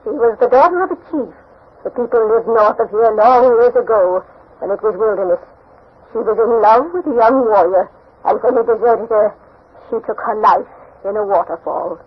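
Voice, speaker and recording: An actress playing a very old, superstitious grandmother, anguished and pleading, in halting 1940s radio melodrama. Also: a little high, husky. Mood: grave storytelling. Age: older. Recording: muffled 1940s radio recording.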